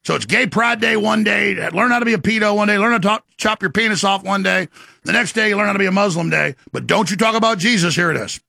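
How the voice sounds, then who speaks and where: A man's voice: high voice, quick pace, vocal fry, pushed and bright, no echo, studio quality. A man hosting a political commentary show, ranting in a heated, sarcastic way. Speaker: host.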